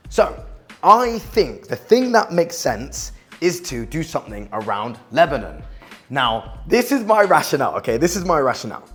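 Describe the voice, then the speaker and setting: A young man from the West Indies, chatting casually in a vlog, animated and self-mocking, swearing and saying "like" a lot. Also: mid-range voice. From Guyana and the West Indies. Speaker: vlogger and outreach coach. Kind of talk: casual vlog talk to camera.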